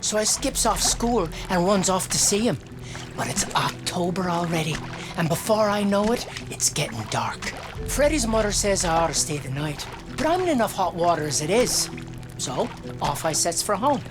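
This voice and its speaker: A woman plays a young soldier lad from a war game, earnest and timid, with a Newfoundland accent. The delivery is a folksy storyteller's, swinging widely in pitch, with music clearly behind it. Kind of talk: dramatic game dialogue and storytelling.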